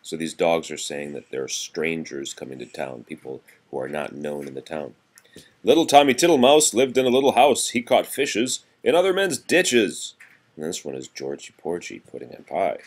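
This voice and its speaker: A man's voice: sits low, big pitch swings, moderate pace. A man reading nursery rhymes aloud in a sing-song way, chatting casually to a child between them as a parent would.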